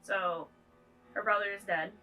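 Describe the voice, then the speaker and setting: A woman's voice: medium pitch, fairly even intonation, measured pace, bright, forceful tone, low-quality recording. A woman co-hosting a casual movie-watching chat, joking as she narrates the plot aloud. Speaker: co-host. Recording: piano music behind.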